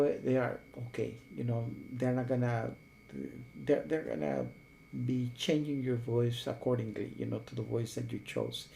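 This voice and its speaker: A man gives a casual, unscripted review in a mellow, gravelly voice. He rambles and keeps checking that the listener follows. A faint hum sits behind him. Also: mid-range voice. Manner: rambling, repeatedly checks with "okay?" and "you know". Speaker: software reviewer.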